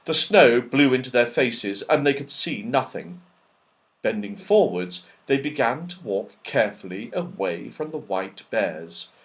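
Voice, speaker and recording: Man, children's story narration, slow pace, lively intonation, medium pitch. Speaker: audiobook narrator.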